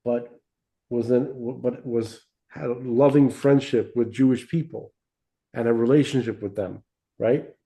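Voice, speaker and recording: Man with a mellow voice, rambling. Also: mid-range voice, fairly even intonation, a little slow, gravelly. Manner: rambling, many restarts, seeks agreement with tag questions. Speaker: podcast co-host. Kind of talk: casual religious discussion.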